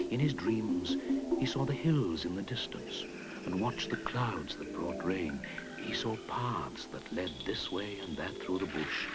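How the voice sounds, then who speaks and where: A man narrating a folktale in an expressive storytelling manner, sometimes dropping to a whisper. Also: low voice, rough recording. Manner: expressive storytelling, drops to a whisper at hushed moments. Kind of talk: storybook narration over music. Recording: narration with clear music behind.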